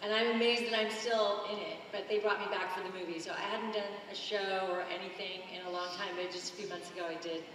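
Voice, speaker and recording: A woman with a scratchy, textured voice talks on a convention panel with an easy, laughing manner. Her bright tone comes from a distance and rings with the long echo of a big hall. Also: mid-range voice, fairly even intonation, fast talker, few pauses. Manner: laughing, easygoing. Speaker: voice actress on a panel. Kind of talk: casual panel talk. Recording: echoey convention hall, far from mic.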